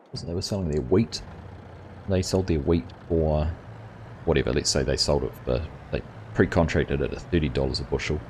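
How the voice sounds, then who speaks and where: A man's voice: a bass voice, a little hiss or hum. A middle-aged man with a New Zealand accent, hosting a live gaming stream and chatting casually with viewers, with plenty of fillers. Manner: relaxed and chatty, many fillers, answers chat by name. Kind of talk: casual chat reading viewer comments.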